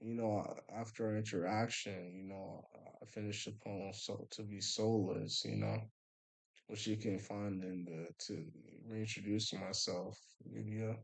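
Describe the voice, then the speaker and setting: A man's voice: lowish voice, flat delivery, a little slow, creaky, low-quality recording. Laid-back young man rambling, lots of "bro". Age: young adult. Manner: laid-back, says "bro" and "you know" constantly; often trails off quietly, mumbling. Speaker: vlogger. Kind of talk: rambling casual storytelling.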